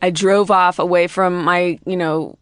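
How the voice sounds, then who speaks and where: A woman's voice: lowish voice, steady tone, measured pace, fairly bright tone, clear, resonant tone, no room sound, clean studio sound. A woman hosting a comedy podcast, chatting in a rambling way with lots of fillers and frequent laughs. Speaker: podcast host. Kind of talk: casual comedic chat.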